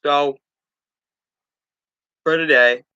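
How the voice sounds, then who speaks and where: A man's voice: a little high, steady tone, extremely slow, pushed and bright, smooth, highs cut off. A young man hosting a casual sports talk stream, stuffed up from allergies, rambling with stumbles and restarts.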